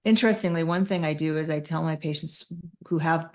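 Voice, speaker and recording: A woman's voice: sits low, a little fast, a little mellow. A woman speaking as an expert guest in an interview, explaining things in a candid, informal way. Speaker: guest, psychiatric clinician.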